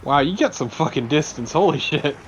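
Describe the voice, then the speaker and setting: A man's voice: on the high side, expressive, a little fast, slight background noise, rough recording. A man co-commentating on a video game in casual, wry banter. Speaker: let's play co-commentator. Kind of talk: casual banter over gameplay.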